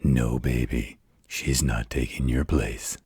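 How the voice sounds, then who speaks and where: A man voicing a gentle, patient werewolf in a slow, soothing bedtime roleplay, with a husky growl. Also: fairly even intonation, dry recording, clean studio sound, full character voice. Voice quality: very deep gravelly husky growl. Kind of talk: intimate bedtime roleplay audio.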